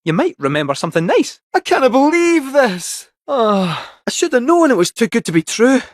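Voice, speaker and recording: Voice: medium pitch, sing-song, brisk, dry recording, clean studio sound. An actor voicing a jaunty, theatrical robot cat with a Scottish accent in a video game, helpful, folksy and a little fussy. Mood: fussy, plucky. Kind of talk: animated video game character acting.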